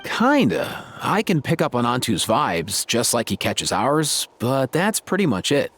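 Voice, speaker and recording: A laid-back young warrior who talks to his saurian like a buddy, casual and slangy with a lively, swinging delivery. A faint ambient bed sits behind him. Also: medium pitch, unhurried, a little hiss or hum. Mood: easygoing. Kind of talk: game cutscene voice acting.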